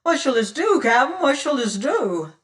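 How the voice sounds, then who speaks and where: A reader voicing frightened rough male pirates who clamor for orders. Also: medium pitch, lively intonation, slow, a bit bright, recorded from a distance, pitched above the performer's own voice. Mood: panicky. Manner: panicky, clamoring.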